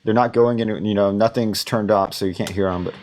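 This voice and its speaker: A man teaching audio mixing, thinking aloud in a relaxed, rambling way with many fillers. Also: fairly low pitch, fast talker, slight background noise. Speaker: audio instructor.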